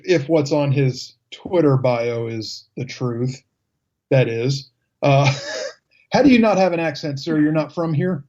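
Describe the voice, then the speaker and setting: A man's voice: fairly high pitch, a little mellow, highs cut off. A man hosting a live radio talk show in a casual, chatty style.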